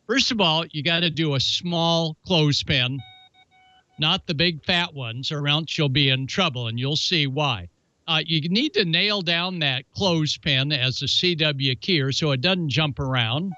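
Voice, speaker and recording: A man's voice: on the high side, sharp tone, dry recording, dull audio. A man hosting a hobby show, talking through announcements and hands-on instructions in a folksy, chatty, playful way. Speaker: ham radio show host. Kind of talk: casual hands-on how-to demonstration with announcements.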